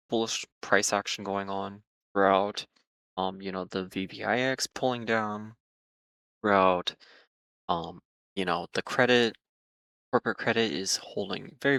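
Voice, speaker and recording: A man hosting market commentary for viewers, speaking in a measured, cautious way with frequent ums and repeated words while losing his voice. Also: fairly low pitch, vocal fry, pushed and bright, no echo. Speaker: market analysis host.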